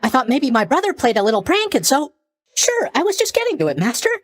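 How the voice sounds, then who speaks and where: Casting: very high-voiced, bouncy young sleep god for a game; folksy, rambling greeter, swooping intonation, tactless but sunny. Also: male voice, huge pitch swings, fast, no room sound, heavily acted. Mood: flustered, rambling. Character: cheerful, drowsy young male god of sleep, chatty underworld greeter. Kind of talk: animated video game character voice lines.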